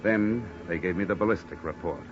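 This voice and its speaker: A male actor plays a hard-boiled American private investigator with a low voice and a deadpan, wisecracking edge. He sounds like old radio noir, in a dull lo-fi recording with music playing behind. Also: fairly quick, lightly acted. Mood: wry, tense narration. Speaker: actor narrating and playing a detective.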